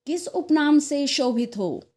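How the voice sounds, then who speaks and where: A woman voicing a pompous, excitable male government secretary in a satirical story, exclaiming in an officious way. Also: high-pitched, slow pace, pitched above the performer's own voice. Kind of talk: dramatized satirical short story reading.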